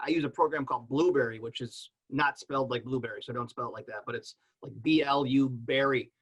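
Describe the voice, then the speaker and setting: A man's voice: a little high, a bit bright, poor audio. A man talking casually as a podcaster on a panel, in a plainspoken way.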